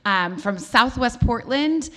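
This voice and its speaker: Loud-voiced woman opening a forum. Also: fairly high pitch, lively intonation, slow pace. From Oregon. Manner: loud, thankful. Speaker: school board member. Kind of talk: public forum remarks. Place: church hall.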